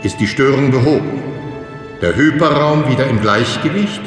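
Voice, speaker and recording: An actor playing a methodical professor, formally explaining in the didactic style of a sci-fi audio drama lecture. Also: male voice, medium pitch, moderate pace, natural voice. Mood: methodical, instructive. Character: male professor lecturing trainee pilots, instructive and methodical. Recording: echoey lecture hall, music underneath.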